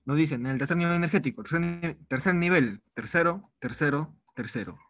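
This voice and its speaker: A man's voice: fairly high pitch, a little fast, a bit bright, no room sound. A man from Peru teaching an online chemistry review class, informal and repeating words as he writes out problems. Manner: informal, repeats words while writing on a board. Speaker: chemistry tutor.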